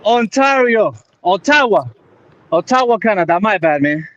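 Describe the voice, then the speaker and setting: A man's voice: sits high, expressive, normal speed, a bit bright, dull audio. A man whose first language is not English, working out in a park, out of breath and talking in short, enthusiastic bursts. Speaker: workout partner. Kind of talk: casual chat during workout. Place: outdoor park.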